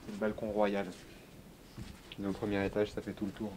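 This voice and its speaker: A man's voice: lowish voice, monotone, normal speed, a little mellow. A man from the coast, explaining his work as a lighthouse keeper in casual interview talk. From France, Vendée coast. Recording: clearly noisy background.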